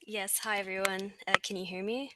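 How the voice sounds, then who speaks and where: A woman's voice: mid-range voice, fairly even intonation, a little fast, very noisy. A woman from Sudan, fluently introducing herself as a research assistant on a video call. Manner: fluent and articulate.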